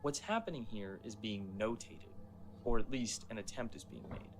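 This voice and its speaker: A man narrating a scripted video essay with deliberate, explanatory storytelling. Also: lowish voice, animated, slight background noise. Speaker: narrator explaining a horror series. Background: music.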